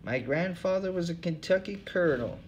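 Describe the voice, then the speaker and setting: Guy doing a tired mopey drifter, voice pushed up high, flat and sorry for himself. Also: fairly quick, muffled recording, a little hiss or hum. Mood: weary. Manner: listless, self-pitying, raised pitch. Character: weary genteel male drifter, listless and mournful.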